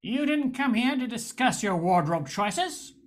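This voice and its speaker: A woman's voice: a little high, lively intonation, measured pace. A woman playing a wry, jaded male bar owner in a space-noir radio spoof, narrating and speaking in scenes. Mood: guarded, hard-boiled. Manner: wry, hard-boiled.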